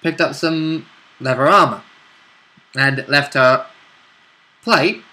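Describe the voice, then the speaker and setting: A man's voice: a little high, slow, pushed and bright. A man talking casually as a player in a tabletop role-play game, chipping in conversationally. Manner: interjecting, conversational.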